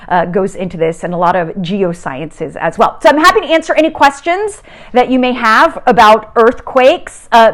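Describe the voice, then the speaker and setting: A middle-aged woman from South America, a TV meteorologist giving a casual science lesson from home, chatty and upbeat with frequent filler "uh"s and restarts. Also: mid-range voice. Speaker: TV meteorologist teaching a kids' lesson.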